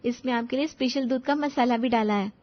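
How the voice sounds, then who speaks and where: A woman voicing an eager, naive young daughter-in-law, respectful and earnest, in an animated moral story. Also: on the high side, brisk, dull audio, close to an everyday voice. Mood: eager, proud.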